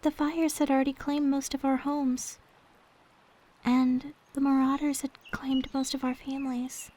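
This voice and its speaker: A woman playing a gentle, devoted young maid in an ASMR roleplay, speaking in a soft, sweet, tender way. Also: sits high, little pitch movement, unhurried. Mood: hesitant, wistful. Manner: soft, sweet, tender, hesitant when confiding.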